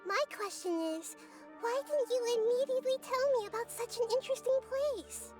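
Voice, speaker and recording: A woman voicing a gentle, childlike goddess in a video game, soft and thoughtful, talking in calm analogies. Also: extremely high pitch, moderate pace. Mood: curious. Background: game music.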